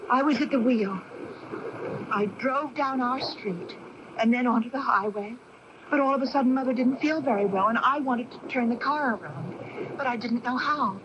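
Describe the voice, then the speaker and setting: Actress doing earnest troubled young woman, measured, gentler voice, 80s radio play, faint hiss. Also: fairly high pitch, lo-fi sound, lightly acted. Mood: anguished, recounting. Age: young adult. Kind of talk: 1980s radio drama acting. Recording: noisy 1980s AM radio broadcast.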